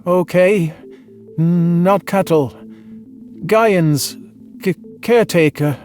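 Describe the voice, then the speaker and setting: A man's voice: sits high, a little mellow, faint music behind, voice pushed down. A man voicing a frightened, distrustful male alien, a former exterminator, who stammers and stumbles over his words. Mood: pleading. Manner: stammering, halting. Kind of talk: audiobook character voice.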